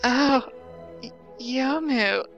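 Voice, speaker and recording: Apologetic ghost mistress for a story reading: bright, high, whiny and distressed. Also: female voice, extremely slow, lo-fi sound, soft background music, full character voice. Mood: shy.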